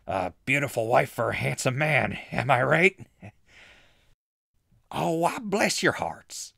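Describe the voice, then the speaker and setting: A man's voice: medium pitch, sing-song, slow, vocal fry, a bit bright, dry recording, clean studio sound, exaggerated voice. A man playing a friendly, eccentric hermit hunter with chummy, drawling backwoods talk in a comic post-apocalyptic audio drama. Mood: friendly, hospitable.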